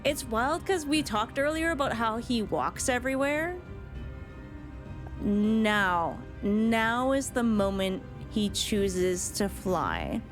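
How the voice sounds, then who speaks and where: A woman's voice: on the high side, sing-song, takes it slowly. A woman streamer giving casual, musing, sweary live commentary on a game in an informal way. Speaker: streamer reacting to game cut-scenes. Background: faint game music.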